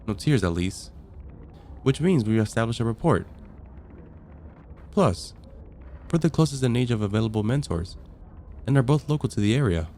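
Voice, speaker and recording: A man playing a friendly, patient vampire caseworker in an ASMR roleplay, explaining things calmly with gentle dry wit. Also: mid-range voice, normal speed, long pauses, slight background noise, close to an everyday voice. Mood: friendly, reassuring. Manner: calm, explanatory, gently wry. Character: friendly, patient vampire caseworker onboarding a newcomer, dryly amused. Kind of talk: ASMR roleplay, conversational acting.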